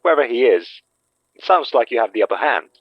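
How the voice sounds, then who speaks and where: An actor playing a wary, puzzled man in a horror audio drama, asking halting, incredulous questions. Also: medium pitch, very animated, breathy edge, dry recording, highs cut off.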